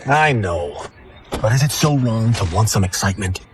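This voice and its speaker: An actor playing a cocky, restless young farmer who craves adventure, brash and teasing, in a video game cutscene. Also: male voice, fairly low pitch, lively intonation, moderate pace, noisy background, natural voice.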